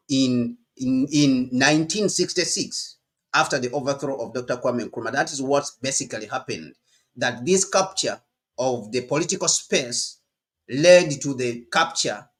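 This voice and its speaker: A man's voice: medium pitch, a bit bright. A man from Uganda giving emphatic political commentary, often repeating himself and checking in with "all right?".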